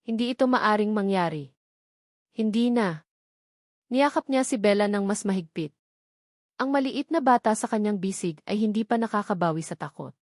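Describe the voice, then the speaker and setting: A Filipino woman narrates a romantic drama in a medium-pitched voice. The delivery is scripted storytelling with dramatic phrasing, recorded dry with very little room sound. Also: a little mellow, dry recording.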